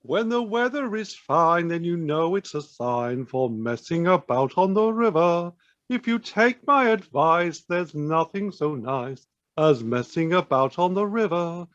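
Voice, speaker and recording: A man's voice: on the high side, lively intonation, unhurried, fairly bright tone, no echo. A man singing a jaunty folk-style song in a muffled lo-fi recording.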